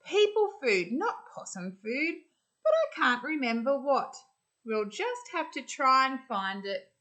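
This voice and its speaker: A woman voicing an excitable, caring grandmother possum in a children's storybook, exclaiming and encouraging. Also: high-pitched, a little slow, voice pushed up, exaggerated voice. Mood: urgent, encouraging.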